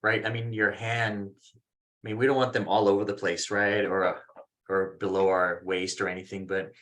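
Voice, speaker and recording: Guy moderating, lowish voice, kinda halting and casual, keeps checking you agree. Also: flat delivery, a bit bright. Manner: halting, informal, seeks agreement. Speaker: session moderator. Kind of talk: casual spoken question.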